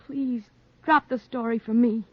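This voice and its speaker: High-voiced, devoted young fiancee. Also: female voice, steady tone, measured pace, dry recording. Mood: worried pleading. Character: worried, affectionate young fiancee of a reporter. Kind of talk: 1940s radio drama acting. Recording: muffled vintage radio recording.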